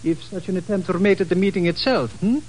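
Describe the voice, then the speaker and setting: Man as high-voiced formal colonel. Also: a little mellow, lightly acted. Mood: formal, skeptical. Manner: formal, courteous, measured wording. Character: formal, skeptical Portuguese colonel in charge of security. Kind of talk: old-time radio drama acting. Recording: slightly noisy vintage radio recording.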